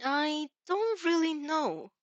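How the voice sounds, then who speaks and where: A woman's voice: sits high, sing-song, takes it slowly, no echo, dull audio, pitched above the performer's own voice, exaggerated voice. A woman voicing a shy, self-doubting teenage boy who speaks hesitantly. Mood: insecure. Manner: hesitant, faltering.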